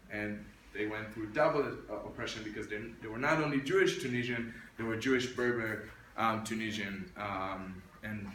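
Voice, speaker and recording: A man's voice: mid-range voice, pushed and bright, slight background noise. Young man with many hesitations. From Israel. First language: Arabic. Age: 20s. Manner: hesitant, many ums and restarts, wry humor. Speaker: guest speaker, military officer. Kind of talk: informal presentation to students. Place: indoor room with an audience. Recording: roomy echo from a distant mic.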